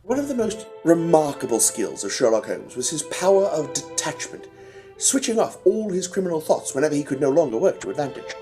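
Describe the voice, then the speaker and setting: A man acting an earnest Victorian gentleman, a loyal sidekick who narrates an audio drama in formal style. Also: on the high side, natural voice.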